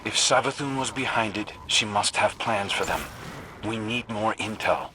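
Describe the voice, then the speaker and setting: A wary strategist in a video game. He has a somewhat low voice and a wry, musing way of talking. The recording has clear background noise. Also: moderate pace, pushed and bright, low-quality recording. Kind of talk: scripted video game dialogue.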